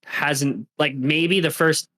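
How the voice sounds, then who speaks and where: A man's voice: on the high side, steady tone, slow, fairly bright tone. A man co-hosting a casual podcast, laid-back and loose, full of likes and you-knows. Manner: laid-back, filler-heavy, says "like" and "you know". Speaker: podcast co-host.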